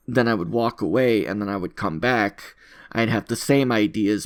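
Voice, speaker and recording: A man talking casually in an unscripted audio log, rambling in a self-deprecating way and repeating his phrases. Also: on the low side. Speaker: content creator recording an audio log.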